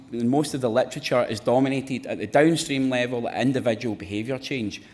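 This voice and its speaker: A man with a Glaswegian accent delivers an earnest conference talk, circling back with "you know" and the odd restart. His voice sits at an ordinary middle pitch. A long reverb from the hall trails behind every phrase. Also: darkish tone. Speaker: academic speaker at a panel. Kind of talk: conference presentation.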